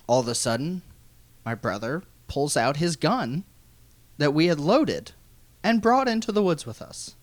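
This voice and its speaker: An American man telling a funny personal story in an animated, folksy way with some swearing. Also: fairly high pitch, unhurried, no room sound. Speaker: guest storyteller.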